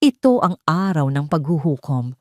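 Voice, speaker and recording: A woman's voice: deep voice, very animated, normal speed, darkish tone, dry recording, clean studio sound. A woman with a Filipino accent narrating a dramatic scripted story.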